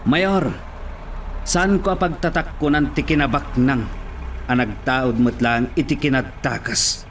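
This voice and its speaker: A man's voice: mid-range voice, measured pace, fairly bright tone, a little hiss or hum, close to an everyday voice. A man playing a defiant, indignant challenger in an Ilocano audio drama. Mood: challenging.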